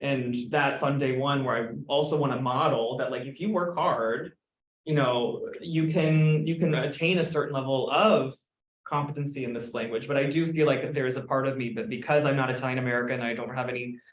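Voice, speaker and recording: A man's voice: medium pitch, fairly even intonation. A man who teaches Italian, talking earnestly in a roundtable and saying "you know" often. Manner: fast, earnest, uses "you know" a lot. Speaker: graduate Italian instructor. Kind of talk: roundtable discussion. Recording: echoey room.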